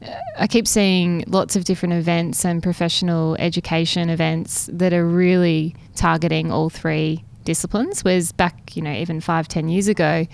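A woman's voice: fairly low pitch, steady tone, normal speed, vocal fry, hardly any pauses, a little mellow. A woman co-hosting an interview podcast, asking questions and affirming the guest's points. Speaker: podcast co-host.

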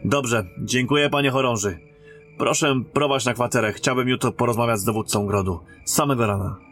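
A man's voice: medium pitch, a little fast, fairly bright tone, soft background music, natural voice. An actor playing a courteous male royal emissary and captain in a fantasy audio drama, speaking with formal military politeness. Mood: polite, businesslike.

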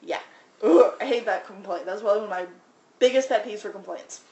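A woman with a small, soft voice, telling restaurant-work stories in casual chat and mimicking customers. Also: medium pitch, normal speed, reverberant. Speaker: restaurant worker, podcast guest.

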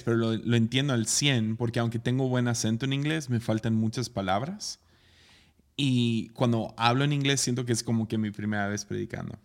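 A man who preaches, talking casually in conversation and often giving soft affirmations. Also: medium pitch. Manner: attentive listener, frequent soft affirmations.

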